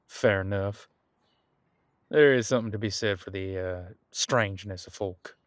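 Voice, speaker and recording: A man's voice: lowish voice, slow pace, long pauses, a bit bright, dry recording, studio quality. A man playing an easygoing, thousands-of-years-old demon detective in an audio roleplay, chatting in a laid-back, folksy, musing way. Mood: amused. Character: easygoing ancient male demon detective living on the coast. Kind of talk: conversational one-sided audio roleplay.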